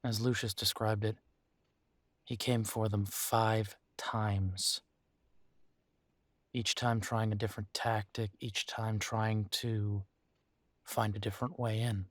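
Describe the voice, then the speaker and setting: A man playing a protective, weary but determined werewolf pack leader in an intimate roleplay audio drama, speaking deliberately with pauses. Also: fairly low pitch, flat delivery, no echo. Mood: grave, cautious.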